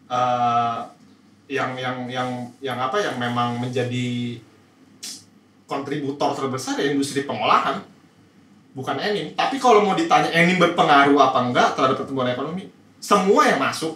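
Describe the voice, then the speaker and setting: A man with a Jakarta accent explaining things in a casual chat, halting and repeating words as he thinks. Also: mid-range voice, sharp tone. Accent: Jakarta Indonesian. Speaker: panel guest answering questions. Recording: echoey room, distant mic, faint noise.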